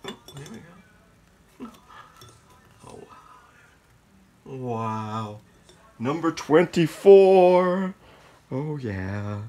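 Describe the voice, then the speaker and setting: A man talks slowly and casually while he shows off his own glow-in-the-dark sculptures, his voice mid-pitched. He mutters and whispers between louder, delighted outbursts, and there's a faint hum of room noise behind him in a dark room. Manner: slow, muttering, then bursts of excited exclaiming. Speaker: artist showing his work. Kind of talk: casual off-the-cuff show-and-tell, partly whispered. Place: dark room lit by blacklight.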